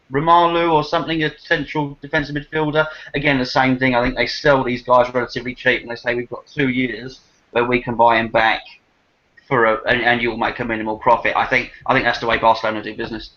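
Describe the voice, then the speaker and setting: Bright-voiced man, lo-fi football chat. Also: mid-range voice, fairly even intonation, a little fast. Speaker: football fan co-host. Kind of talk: casual chat. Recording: dull lo-fi voice chat.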